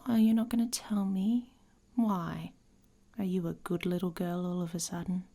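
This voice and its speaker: Woman playing a husky, whispery, taunting she-wolf. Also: on the low side, steady tone, moderate pace, warm tone. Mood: teasing, seductive. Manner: teasing, sardonic, often dropping into whispers. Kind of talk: intimate ASMR roleplay.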